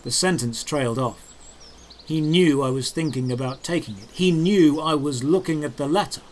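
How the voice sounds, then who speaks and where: A man's voice: fairly high pitch, normal speed, a little hiss or hum. A man giving dramatic, scripted first-person narration for a fantasy audio drama.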